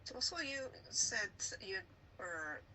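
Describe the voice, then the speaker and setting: A woman interviewer asking job interview questions in a halting way, searching for her words. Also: medium pitch, sing-song, pushed and bright, slight background noise, poor audio.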